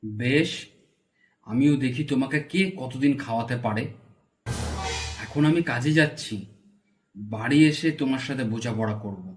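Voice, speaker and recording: A man's voice: mid-range voice, normal speed, long pauses, natural voice. A man voicing a poor village father in a cartoon folk tale, reproachful and protective of his children. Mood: grim. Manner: reproachful, stern.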